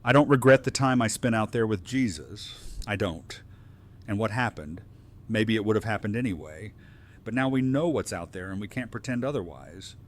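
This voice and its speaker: A man's voice: lowish voice, moderate pace, a little hiss or hum, lightly acted. A man playing a sober, loyal and resolute council member in an audio drama. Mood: regretful, firm. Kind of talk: full-cast audio drama acting.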